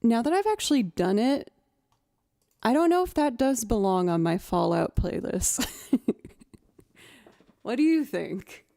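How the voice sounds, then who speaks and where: A young woman with a naturally low voice, chatting casually with her livestream audience and thinking aloud as she goes. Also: very animated, measured pace, creaky, pauses often, dark tone, no echo, clean studio sound. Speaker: streamer and musician.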